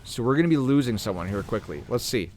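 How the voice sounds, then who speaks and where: A man hosting a gaming channel, talking casually through a game while playing, clearing his throat as he is losing his voice. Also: medium pitch, fast, a little hiss or hum. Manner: relaxed, thinking aloud while playing. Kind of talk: casual gameplay commentary.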